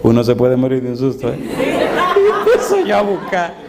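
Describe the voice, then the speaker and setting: A man's voice: on the high side, wildly swooping pitch, moderate pace, a loud crowd. A middle-aged male pastor telling a humorous story in a sermon, acting out his own reactions with drama. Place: church hall before a congregation. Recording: noisy live recording with audience.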